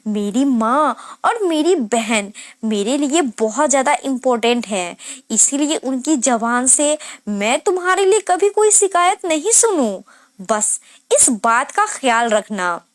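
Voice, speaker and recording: A woman's voice: high voice, big pitch swings, unhurried, no room sound, studio quality. A woman voicing a cold, curt young newlywed husband in a narrated story, blunt and commanding. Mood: stern, warning.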